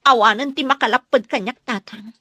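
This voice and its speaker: Determined village daughter, highish voice. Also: female voice, a little fast, pushed and bright. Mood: resolute. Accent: Ilocano. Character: resolute grown daughter in an Ilocano village. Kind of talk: Ilocano radio drama acting.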